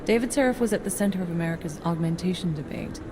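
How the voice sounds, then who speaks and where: An actress playing a composed, straight-talking agency doctor in a video game, measured, dry and probing. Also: female voice, lowish voice, noisy background, lightly acted. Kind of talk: video game cutscene acting.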